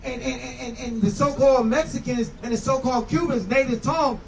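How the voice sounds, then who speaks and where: Man, street preacher, very high voice, insistent and repetitive, debating and teaching, faint ambient noise. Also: steady tone, brisk, vocal fry. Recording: distant mic, faint outdoor street noise.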